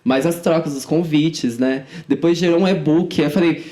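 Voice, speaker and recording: Young woman, somewhat low-pitched informal speaker. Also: moderate pace, warm tone. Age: 20s. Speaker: podcast guest, student activist. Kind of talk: conversational interview answers.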